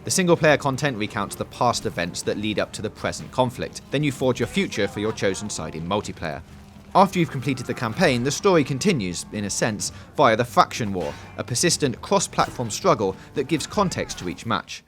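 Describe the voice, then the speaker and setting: A man's voice: mid-range voice, big pitch swings, brisk, fairly bright tone, soft background music. A man reading a scripted video game preview voice-over in a polished, emphatic presenter style.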